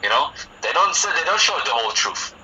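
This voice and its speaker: A man's voice: medium pitch, big pitch swings, a little fast, sharp tone, far from the mic, poor audio. A man from Israel in a casual online chat, arguing his point and checking in with "okay?" and "you know?". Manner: argumentative, keeps asking "okay?". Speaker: conversation partner.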